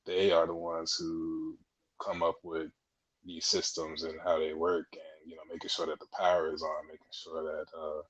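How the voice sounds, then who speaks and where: A young man with a very low, husky voice explains things casually as an interview guest. His delivery stays fairly flat and conversational, and the audio is dull and muffled. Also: moderate pace. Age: 20s. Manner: explanatory, conversational, frequent "you know". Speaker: podcast guest, air traffic controller trainee.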